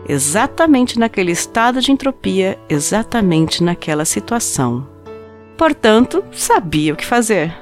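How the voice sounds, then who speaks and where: A woman playing an obsessive, coldly rational scientist confessing to murder in a dramatized audio story, with intense, deliberate delivery. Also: mid-range voice, close to an everyday voice. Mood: obsessive, brooding. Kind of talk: dramatized first-person audio story narration.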